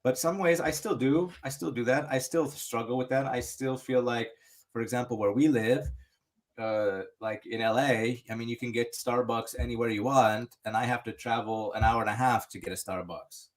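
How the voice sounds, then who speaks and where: A man's voice: medium pitch, steady tone, normal speed. A man from the United States chatting casually on a podcast, rambling with many restarts and fillers. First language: English. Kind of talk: casual chat.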